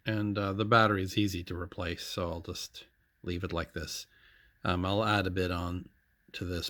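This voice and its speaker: Man, deep-pitched voice, hesitant how-to narration, matter-of-fact, frequent pauses, dry room sound. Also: fairly bright tone, dry recording. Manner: hesitant, matter-of-fact, pausing often mid-sentence. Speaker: hobbyist electronics repair demonstrator. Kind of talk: unscripted how-to narration of a repair project.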